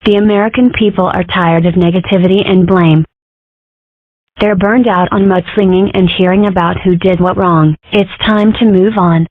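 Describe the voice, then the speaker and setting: A woman's voice: on the low side, fairly even intonation, moderate pace, no room sound, close to an everyday voice. A woman voicing a sassy, scheming young fox girl in a fan comic dub. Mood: flippant. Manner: flippant, scheming. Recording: tinny, dry phone-like audio.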